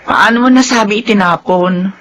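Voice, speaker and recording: A woman's voice: medium pitch, moderate pace, a bit bright, natural voice. A woman with a Filipino accent playing an anxious, hesitant new adoptive mother in a radio drama, fretful and questioning. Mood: worried.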